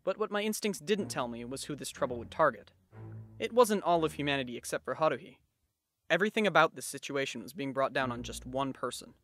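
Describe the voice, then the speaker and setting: A man's voice: mid-range voice, lively intonation, quick pace, a bit bright, dry recording, faint music behind, natural voice. A man playing a sardonic, bewildered teenage schoolboy who narrates an anime fandub with dry incredulity. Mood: ominous, dismayed. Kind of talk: anime fandub, inner monologue and dialogue.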